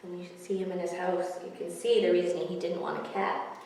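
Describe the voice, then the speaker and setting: A woman's voice: fairly low pitch, flat delivery, fairly quick, a little mellow, slight background noise. A woman hosting a children's story time as a librarian, explaining things simply to young listeners. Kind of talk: picture book read-aloud and explaining to children. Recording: very echoey room, distant microphone.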